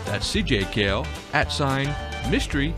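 A man reading scripted podcast credits as an announcer, in a measured, careful manner. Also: mid-range voice, sing-song. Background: guitar music.

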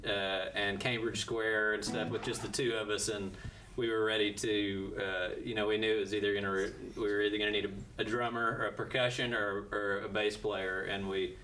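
Bright-voiced man heard from across the room. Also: lowish voice. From Tennessee. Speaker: band member. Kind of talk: casual interview chat. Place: small library studio room. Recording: distant mic, faint room noise.

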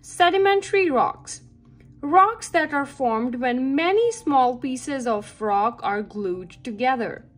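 A woman's voice: high voice, expressive, slow pace. A woman reading a children's science book aloud with careful phrasing meant for young listeners. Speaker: read-aloud reader for children.

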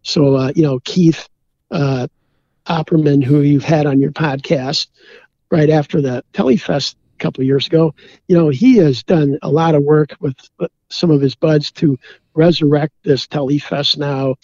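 A man's voice: fairly high pitch, normal speed, dark tone, no echo, highs cut off. A man from Minnesota, a beer brewer, chatting easygoingly as a podcast guest and filling his talk with "you know". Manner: rambling, easygoing, many "you know" fillers. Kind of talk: casual interview chat.

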